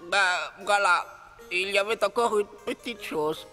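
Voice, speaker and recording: A man's voice: high-pitched, a little slow, bright, forceful tone. A man voicing an enchanted frog prince in a children's cartoon, speaking with courteous, formal insistence. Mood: polite, pleased. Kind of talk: children's fairy-tale cartoon acting. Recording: long reverb, music behind.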